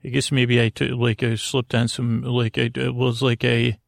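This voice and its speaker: A man with a creaky voice hosting a bedtime podcast, gently meandering and halting as he keeps interrupting himself. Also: medium pitch, flat delivery, dry recording, clean studio sound. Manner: gentle, lulling. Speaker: podcast host. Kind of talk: rambling bedtime monologue.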